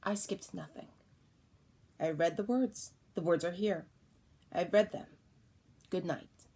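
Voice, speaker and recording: A woman's voice: fairly low pitch, slow, mellow, husky, exaggerated voice. A woman voicing a stubborn, huffy father who answers in short, clipped sentences in an audiobook. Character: stubborn, huffy middle-aged father, a barber.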